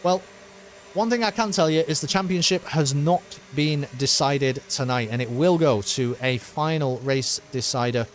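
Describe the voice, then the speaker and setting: A man commentating a sim race and signing off his stream, excitable and rambling, with his voice wearing thin after a long session. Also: a little high, moderate pace, slight background noise. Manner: excited, rambling, with many uh fillers. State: losing his voice.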